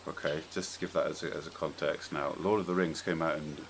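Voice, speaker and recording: Male podcast host, very low voice, opinionated and conversational, slight background noise. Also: steady tone, fast talker. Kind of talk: casual opinionated discussion.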